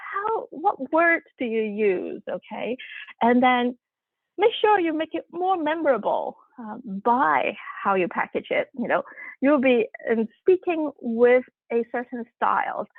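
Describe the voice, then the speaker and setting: A woman's voice: a little high, no room sound. A woman whose first language is Cantonese, giving an online workshop in a conversational way with many fillers and restarts, speaking over a video call. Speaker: workshop presenter. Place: home room, video call. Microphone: computer mic on video call. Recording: tinny phone-like audio.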